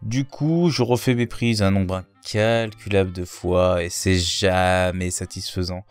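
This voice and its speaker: A man who voices parts in a fan dub, speaking in a deadpan, self-deprecating way. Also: sits low, a little slow, clean tone, faint music behind. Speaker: fandub voice actor. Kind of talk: casual recorded answer.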